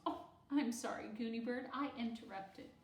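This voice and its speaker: A woman's voice: fairly high pitch, moderate pace, reverberant, recorded from a distance, close to an everyday voice. A woman voicing a friendly, chatty adult schoolteacher in a storybook, speaking earnestly. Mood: chatty, apologetic.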